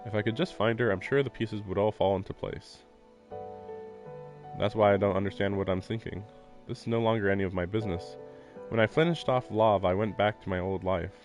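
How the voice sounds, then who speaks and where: Low-voiced man streaming, music behind. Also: steady tone, a little fast, darkish tone. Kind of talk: reading a visual novel aloud with casual commentary.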